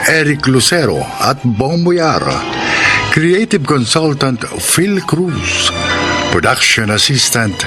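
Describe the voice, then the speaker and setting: A man with a Filipino accent announcing a scripted radio drama in a formal, measured, dramatic way. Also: medium pitch, sing-song. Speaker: programme host and announcer. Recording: music bed behind voice.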